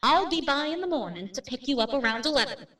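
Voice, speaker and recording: A woman playing a brisk, matter-of-fact real estate agent in a radio drama, plain-spoken and without superstition. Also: high-pitched, lively intonation, bright, forceful tone, far from the mic. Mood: brisk, dismissive. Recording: roomy, off-mic drama recording.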